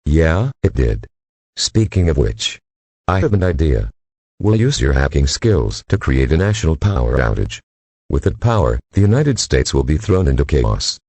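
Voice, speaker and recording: Guy doing a gravelly evil mastermind for an audio drama, super deep, bossy and grandiose, dry close sound. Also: sing-song, moderate pace, a little mellow, dry recording, heavily acted. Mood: scheming. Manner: commanding, grandiose. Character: scheming male villain and escaped convict, gang boss. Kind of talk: amateur audio drama acting.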